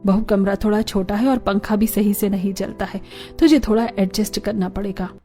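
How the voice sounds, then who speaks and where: A woman playing an anxious older mother-in-law in a family story, gently coaxing and placating. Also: mid-range voice, steady tone, normal speed, soft background music, natural voice. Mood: instructing. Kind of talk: dramatised Hindi family story acting.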